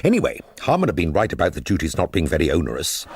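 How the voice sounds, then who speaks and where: An actor playing a diffident, cash-strapped artist, educated, hesitant and wry, in radio drama dialogue and narration. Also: male voice, low voice, wildly swooping pitch, fast, background noise, heavily acted. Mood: reflective, dry.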